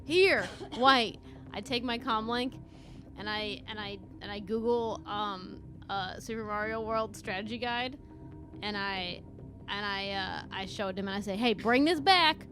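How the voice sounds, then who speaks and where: A woman's voice: mid-range voice, measured pace, a bit bright, faint music behind. A woman playing a tabletop role-playing game, improvising conversation in character. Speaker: tabletop role-play player.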